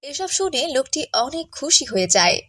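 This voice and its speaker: A woman narrating a cartoon tale and voicing a gentle, caring, pious village mother. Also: high voice, big pitch swings, normal speed. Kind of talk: cartoon storytelling with character dialogue.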